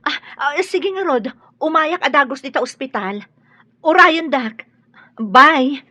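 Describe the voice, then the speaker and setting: A woman's voice: sits high, animated, slow, pushed and bright, no room sound, heavily acted. A woman playing a caring, emotional mother in an audio drama, speaking expressively. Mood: excited, urgent.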